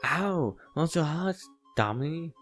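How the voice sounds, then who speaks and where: A man voicing excitable, playful young visual novel characters in an exclamatory amateur read-aloud. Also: a little high, slow, faint music behind, voice pushed up. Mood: startled, whiny.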